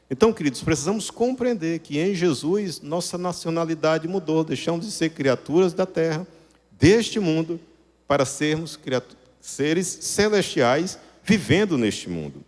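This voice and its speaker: A man's voice: fairly high pitch, a little mellow. A man preaching a sermon to a congregation, explaining didactically and rephrasing points with rhetorical questions. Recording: reverberant hall sound.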